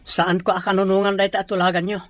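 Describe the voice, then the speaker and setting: A woman's voice: medium pitch, little pitch movement, fast, lightly acted. A woman playing a troubled, resistant young village man in love in an audio drama. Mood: indignant. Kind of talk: Ilocano audio drama acting.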